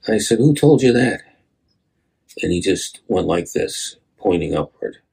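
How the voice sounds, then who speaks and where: A man tells an anecdote in conversation. His voice is very deep, full of gravelly creak and extremely husky. He recounts things as an interviewee would. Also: animated, a little slow, darkish tone. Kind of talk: conversational recollection.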